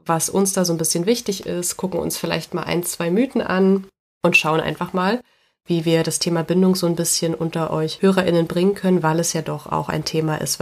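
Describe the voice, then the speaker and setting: A woman with a cold and a stuffy nose, hosting a casual podcast chat as a dog trainer, relaxed and chatty, laughing easily. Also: lowish voice.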